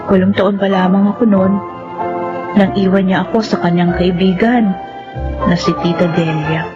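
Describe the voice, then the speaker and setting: A woman's voice: medium pitch, steady tone, moderate pace, close to an everyday voice. An actress playing a timid, wronged teenage girl, plaintive, in a Filipino radio drama. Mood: sorrowful narrating. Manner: plaintive, pleading. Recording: dull audio with music behind.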